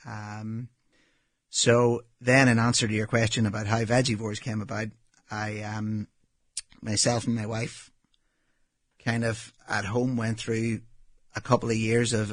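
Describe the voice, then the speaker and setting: A Northern Irish man in his thirties, a restaurant owner answering interview questions in a relaxed, matter-of-fact way with frequent ums. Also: fairly low pitch, a little slow, no room sound. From Northern Ireland.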